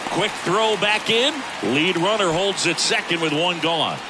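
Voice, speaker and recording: A man playing an alert baseball play-by-play announcer in a video game, quick to rise with the action. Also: high-pitched. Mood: alert, building excitement. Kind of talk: sports commentary in a video game. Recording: loud stadium crowd and ambience behind. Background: ballpark crowd noise.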